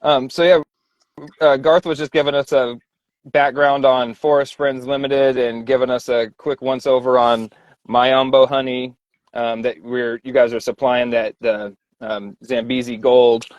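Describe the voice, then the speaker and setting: A man's voice: medium pitch, flat delivery, a little slow, no echo, muffled recording. Easygoing male host, dry close sound. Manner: easygoing, short affirmations. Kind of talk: online panel hosting.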